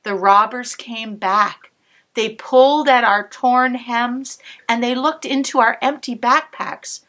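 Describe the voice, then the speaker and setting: A woman cantor reading a picture book aloud to children, pausing to explain the pictures, sometimes dropping to a whisper. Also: a little high. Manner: slow, expressive storybook reading with soft whispered asides. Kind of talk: read-aloud storytime with asides to kids.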